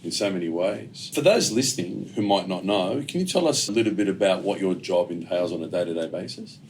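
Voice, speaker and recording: Podcast host: man, deep voice, casual interviewing, faint noise behind. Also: expressive, a little fast, a little mellow, rough recording.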